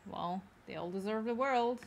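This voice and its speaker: A woman's voice: fairly high pitch, normal speed, creaky, lo-fi sound, a little hiss or hum. A woman from Belgium with a Flemish accent, whose first language is Dutch, chatting casually with her live-stream viewers and greeting them as she plays.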